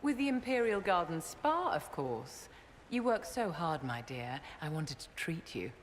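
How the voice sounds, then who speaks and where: A woman's voice: deep voice, sing-song, a little slow, slight background noise. An actress playing a pampered, haughty noblewoman who speaks in an arch, condescending drawl. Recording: reverberant game audio with long echo.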